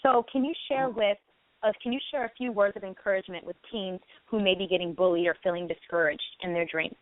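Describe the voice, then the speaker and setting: A woman's voice: mid-range voice, fairly even intonation, quick pace, dry recording. A woman in her late twenties hosting a conversational interview, speaking in an affirming way with frequent "you know" asides. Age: late 20s. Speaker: talk show host. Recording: tinny phone-like radio sound.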